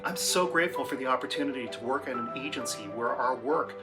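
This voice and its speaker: A man's voice: medium pitch, quick pace. A man who is a senior administrator, giving a corporate testimonial. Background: loud background music.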